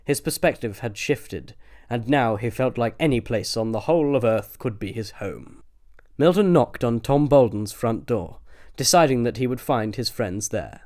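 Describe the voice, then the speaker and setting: A man's voice: medium pitch, moderate pace, a little mellow. A man with a British accent reading scripted comic story narration as an audiobook narrator.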